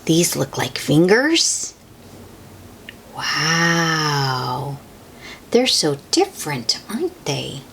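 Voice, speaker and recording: A woman's voice: sits low, big pitch swings, very slow, long pauses, fairly bright tone, slight background noise. A woman reading a children's book aloud, adding simple comments and questions for young listeners in a child-directed, wondering manner. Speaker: host reading picture books aloud to children.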